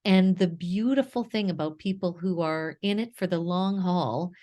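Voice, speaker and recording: A woman's voice: fairly low pitch, moderate pace, warm tone. A woman in her fifties with a French Canadian accent, a native French speaker, giving an effusive motivational talk as a guest speaker. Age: 50s.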